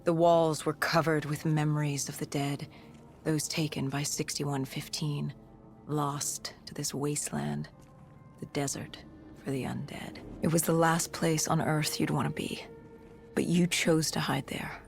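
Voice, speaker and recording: Gravelly, grim battle-worn soldier. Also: mid-range voice, little pitch movement, measured pace, faint music behind. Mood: grim, brooding. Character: grim battle-worn cybernetic soldier recounting humanity's fall. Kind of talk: scripted dramatic game narration.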